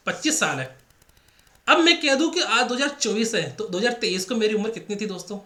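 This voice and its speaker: A man's voice: high voice, expressive, a little fast, sharp tone. A male math teacher with a Rajasthani Hindi accent, running an exam-prep lesson and repeating each step with rhetorical questions to the class. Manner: repetitive, drills points with rhetorical questions to the class. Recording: echoey room.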